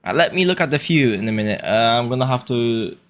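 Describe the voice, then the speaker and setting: A man's voice: mid-range voice, animated, a little fast, a bit bright. A man livestreaming a video game, chatting casually and thinking aloud as he plays. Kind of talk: casual livestream chat while playing. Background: game music.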